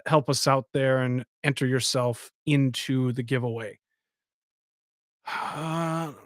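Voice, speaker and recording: A man's voice: mid-range voice, no echo, clean studio sound. A man hosting a live stream, chatting casually and rambling as he talks to his viewers. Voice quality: says he has a soft voice. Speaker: podcast host.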